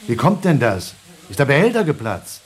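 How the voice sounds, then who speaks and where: An exasperated male crewman, acted by a man in a radio drama, mid-pitched and highly animated. He sounds anxious and urgent, moving between agitated demands and muttering. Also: moderate pace, natural voice. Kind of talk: German radio play acting.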